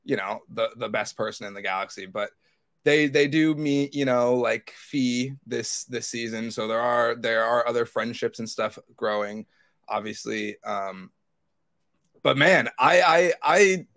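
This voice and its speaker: A man's voice: medium pitch, measured pace, fairly bright tone. A man co-hosting a podcast, talking casually and enthusiastically as a fan, in a rambling way. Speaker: podcast co-host. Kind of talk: casual fan discussion of TV episodes.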